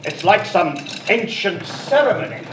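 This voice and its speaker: Bossy, high-voiced film director, echoey. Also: male voice, lively intonation, fairly bright tone. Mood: brisk. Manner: brisk orders, dry sarcasm. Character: bossy, impatient, sarcastic adventurer film director. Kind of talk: live comic radio parody acting. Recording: roomy, distant, noisy live theatre recording.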